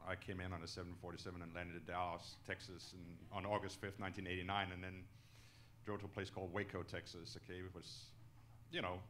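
A man's voice: low voice, flat delivery, quick pace, a bit bright, a little hiss or hum. A middle-aged man from Denmark, a native Danish speaker, giving earnest, emphatic answers in an on-stage interview, often checking in with "okay?". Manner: emphatic, ends points with "okay?". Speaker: company executive, guest speaker. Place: auditorium stage, indoors. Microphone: handheld microphone.

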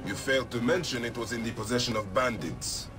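A man's voice: mid-range voice, brisk, lightly acted. An actor playing a stern, wary male warrior of ancient Egypt in a video game, speaking in a terse, dry way. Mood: dry annoyance. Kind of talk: video game character acting. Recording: loud music behind the voice.

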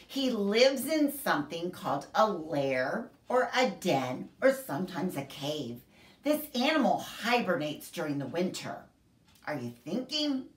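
Animated woman storyteller with lively, swooping pitch. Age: middle-aged. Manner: animated, deliberate, sing-song storyteller delivery. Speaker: children's library story time host. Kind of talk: expressive picture-book read-aloud for young children. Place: small home room, indoors. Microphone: camera a few feet away. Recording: roomy echo, distant camera microphone.

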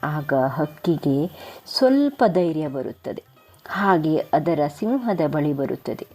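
A woman's voice: low-pitched, big pitch swings, slow pace, dark tone, faint music behind. A woman narrating a moral story for children as a storyteller.

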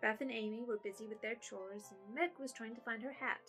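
Expressive woman narrating a story. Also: a little high, brisk, soft background music.

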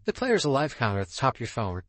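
A man's voice: medium pitch, huge pitch swings, quick pace, a bit bright. Man putting on a stately god game master, sweeping intonation. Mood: solemn, commanding. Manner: formal, stately announcing. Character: male god of time and space, solemn game master. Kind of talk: reading visual novel dialogue aloud.

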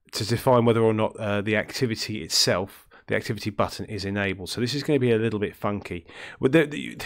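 A man with a deep, throaty voice from illness, talking through a coding tutorial in a rambling way, with many ums and self-corrections. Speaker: game development tutorial host. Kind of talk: screen-recorded coding tutorial.

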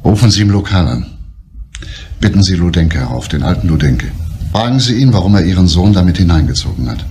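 An actor playing a calm, authoritative police inspector, giving measured instructions in a crime drama. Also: male voice, deep voice. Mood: calm, commanding. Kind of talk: German crime drama acting. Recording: clearly noisy soundtrack with clicks.

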